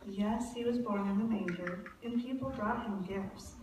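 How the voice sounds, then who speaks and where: A woman acts as a sincere little girl puppet telling things earnestly. Her voice sits at a medium pitch. It is recorded from a distance in a very echoey, noisy hall. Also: moderate pace, lightly acted. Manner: earnest, explanatory storytelling. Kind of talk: church puppet show acting.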